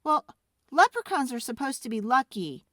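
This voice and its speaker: A woman voicing an earnest, excitable young schoolboy with a hesitant, emphatic way of talking in a storybook. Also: high-pitched, normal speed, dry recording, clean studio sound, pitched above the performer's own voice, full character voice. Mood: protesting.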